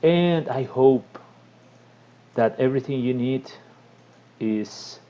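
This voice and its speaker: Mellow-voiced man, halting tutorial talk. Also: mid-range voice, highs cut off. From Costa Rica. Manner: halting, frequent restarts and filler sounds. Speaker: tutorial presenter. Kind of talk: casual screen-recorded tutorial.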